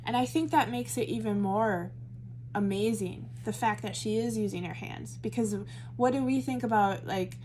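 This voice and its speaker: Woman, Midwestern American accent, chatty Bible study teacher, medium pitch, faint hum behind. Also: moderate pace, a little mellow, low-quality recording. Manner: chatty, thinks aloud, many fillers and self-corrections. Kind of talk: casual teaching talk to camera.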